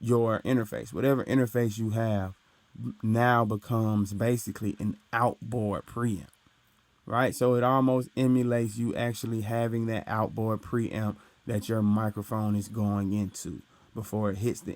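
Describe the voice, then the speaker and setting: A young man with a deep voice casually explaining recording gear, talking into his studio mic and tagging his points with "right?". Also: fairly even intonation, measured pace, darkish tone, no room sound. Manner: explains loosely with frequent "right?" and "uh". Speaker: recording engineer teaching. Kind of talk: casual tutorial walkthrough. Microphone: studio microphone through his interface.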